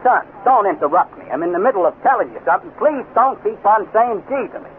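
An actor playing a brash, boastful, easily flustered space adventurer telling tall tales in a 1950s radio serial. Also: male voice, high-pitched, expressive, fast. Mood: huffy, flustered. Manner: bragging, easily flustered, slangy. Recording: noisy, lo-fi 1950s radio recording.

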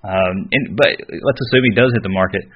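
A man's voice: low voice, brisk, husky. A man co-hosting a sports podcast, giving casual analysis in short, considered phrases. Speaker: podcast co-host. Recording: slightly dull, lo-fi audio.

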